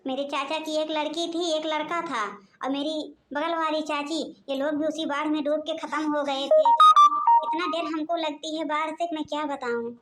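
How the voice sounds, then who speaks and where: A very high, almost childlike voice for a scared young village wife, played by a woman. She is an excitable cartoon character who pleads and repeats herself anxiously. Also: moderate pace, music in the background, natural voice. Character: frightened young village daughter-in-law in a cartoon. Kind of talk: Hindi animated comedy dialogue.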